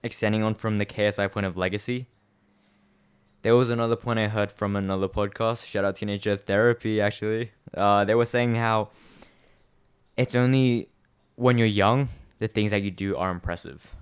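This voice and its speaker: A man's voice: on the low side, steady tone, moderate pace, clear, resonant tone. A young man co-hosting a podcast, chatting casually and reflectively, rambling with many fillers. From Australia. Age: young adult. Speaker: podcast co-host. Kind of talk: casual chat.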